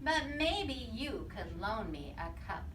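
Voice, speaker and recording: A woman voicing a sly, polite male storybook wolf with slow, singsong delivery, in a roomy recording. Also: a little high, sing-song, fairly bright tone, voice pushed down, full character voice. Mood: self-pitying, sly. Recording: roomy, distant mic with background noise.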